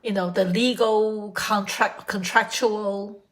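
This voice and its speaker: A woman hosting a casual reaction chat, her voice a little hoarse. She rambles and corrects herself mid-sentence in a loose, conversational way. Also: mid-range voice, steady tone, takes it slowly. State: slightly hoarse. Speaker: channel host.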